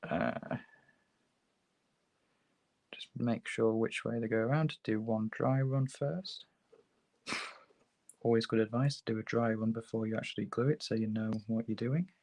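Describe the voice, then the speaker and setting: A hoarse man with a low voice chats easily while he works at a hobby bench, walking viewers through a build step by step. His voice comes across close and dry, with no room sound around it. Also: measured pace, long pauses, no echo. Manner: relaxed, chatty, talks while working with his hands. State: hoarse, sore throat. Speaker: host demonstrating a model kit build. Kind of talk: casual livestream tutorial. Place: hobby workbench, filmed from overhead.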